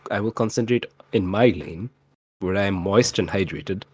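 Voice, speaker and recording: A man with a low voice retells a tabletop game session in a loose, comic way. His delivery is dry, with mock-exasperated asides, and the sound is close and dry. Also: moderate pace, a little mellow, slightly rough, no room sound. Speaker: tabletop role-playing player.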